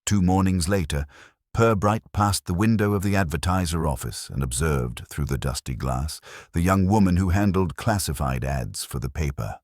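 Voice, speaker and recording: A man reading a mystery story as a scripted narrator, in a measured, formal way. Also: low voice, darkish tone, no echo, studio quality.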